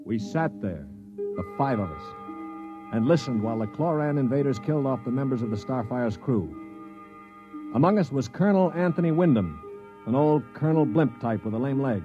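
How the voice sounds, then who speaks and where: An actor playing a cool, cynical former space pilot in a 1950s radio drama, dry, matter-of-fact and commanding. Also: male voice, mid-range voice, expressive, normal speed, lo-fi sound, natural voice. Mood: grave narration. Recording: 1950s radio recording with music behind.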